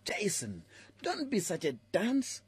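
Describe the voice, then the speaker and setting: Bossy boy prankster played by a man, very raspy-husky, hugely animated delivery, audio drama. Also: high-pitched, takes it slowly, dry recording, exaggerated voice. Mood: exasperated. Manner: urgent, needling. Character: cheeky, scheming, bossy schoolboy prankster.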